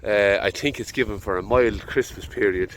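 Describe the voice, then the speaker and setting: A man's voice: lowish voice, normal speed, fairly bright tone, slight background noise. An Irish man farming, talking easygoing and rambling out in a field while fighting off a head cold. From Ireland. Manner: easygoing, rambling, thinking aloud. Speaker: farmer. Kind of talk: casual vlog narration. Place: outdoors in a field.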